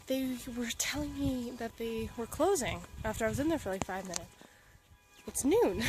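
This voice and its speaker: A young woman from Alaska talks to her handheld camera outdoors, earnest and emotional. Her voice sits a little high. She laughs easily and slips into soft, breathy asides. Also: poor audio. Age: young adult. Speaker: travel vlogger. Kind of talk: casual vlog talk to camera. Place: outdoors in a mountain town.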